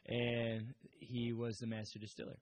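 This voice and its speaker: A man's voice: medium pitch, monotone, moderate pace, no room sound. Man retelling a story with hedges. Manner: storytelling, hedging, apologetic. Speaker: podcast co-host. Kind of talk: casual chat.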